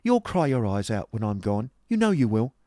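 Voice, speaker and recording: A man's voice: mid-range voice, sing-song, normal speed, mellow, dry recording, clean studio sound, pitched above the performer's own voice, natural voice. A man voicing a pert, vain young woman with an affected, mockingly polite manner in a novel reading. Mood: taunting. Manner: affected, taunting, prim.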